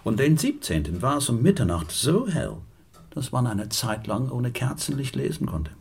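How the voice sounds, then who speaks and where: An actor playing a learned gentleman in an audio drama, chatting in old-fashioned educated diction. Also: medium pitch, big pitch swings, normal speed, gravelly, a little hiss or hum. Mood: intrigued. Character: learned gentleman guest marvelling at celestial oddities.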